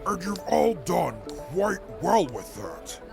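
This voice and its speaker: An actor playing a pompous male robot reporter who calls himself a grand master of ceremonies, with a gravelly, grandiose delivery. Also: high-pitched, sing-song, slow pace, over music, full character voice. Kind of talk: audio drama acting.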